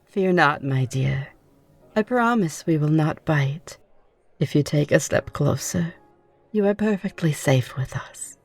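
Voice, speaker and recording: A woman's voice: low-pitched, animated, measured pace, husky, full character voice. A woman playing a curious, optimistic newly turned vampire, speaking in formal, genteel and reassuring phrases for an ASMR roleplay. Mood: courteous, reassuring. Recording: music behind the voice. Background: music.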